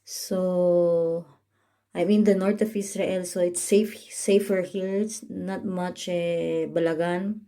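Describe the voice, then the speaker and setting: Slow, halting Filipino woman vlogging. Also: fairly low pitch, steady tone, mellow. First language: Tagalog. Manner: halting, many fillers and restarts, simple explanatory English. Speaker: caregiver and vlogger. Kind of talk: casual update vlog. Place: home in northern Israel.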